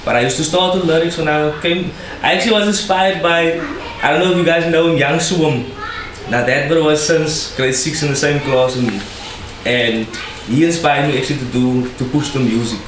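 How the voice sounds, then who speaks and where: A man's voice: on the high side, steady tone, normal speed. A young man from South Africa with a Cape Town accent, a rapper talking casually as a podcast guest, slangy and laughing often. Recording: echoey room with noise.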